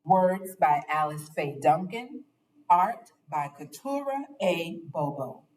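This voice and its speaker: A woman reading a children's picture book aloud with expressive, dramatic and deliberate phrasing. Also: lowish voice, recorded from a distance, rough recording.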